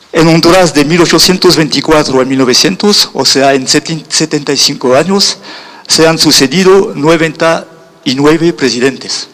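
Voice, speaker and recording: Brief: middle-aged man, guest lecturer, slightly high voice, halting and searching for words. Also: a bit bright. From Europe. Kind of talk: keynote lecture.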